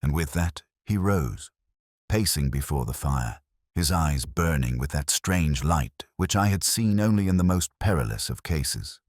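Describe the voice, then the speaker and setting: A man narrating a detective story in a measured, dramatic, scripted style. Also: extremely low pitch, expressive, no room sound, clean studio sound. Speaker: audiobook narrator.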